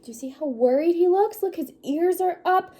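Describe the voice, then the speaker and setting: Lady doing a kids read-aloud, high mellow voice, chatty little asides and questions. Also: moderate pace. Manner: explains pictures, asks listeners questions. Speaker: picture book read-aloud presenter.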